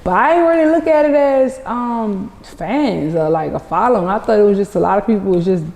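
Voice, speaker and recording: Fast-talking New Orleans woman. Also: medium pitch, animated, darkish tone. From New Orleans, USA. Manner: storytelling, quotes others in dialogue. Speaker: guest, social media personality. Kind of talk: casual interview talk. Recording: reverberant roomy sound.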